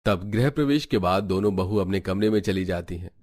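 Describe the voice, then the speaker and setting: A man's voice: low-pitched, a little fast, a little mellow, slight background noise. A man reading scripted narration for a family storybook cartoon.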